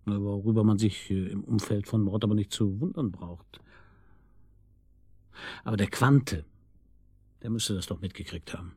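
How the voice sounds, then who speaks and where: An actor playing a wry, probing police detective in a radio drama, with a husky voice and a dry, colloquial manner. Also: male voice, on the low side, moderate pace, long pauses, a little mellow, dry recording. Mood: musing. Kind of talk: naturalistic German radio drama acting.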